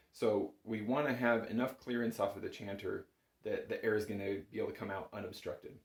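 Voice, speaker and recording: Guy with a lowish voice explaining music stuff step by step, super casual, bit echoey. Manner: reassuring, informal, explains step by step. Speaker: bagpipe instructor. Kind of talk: casual instructional talk. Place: small indoor room at home. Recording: roomy, echoing small room.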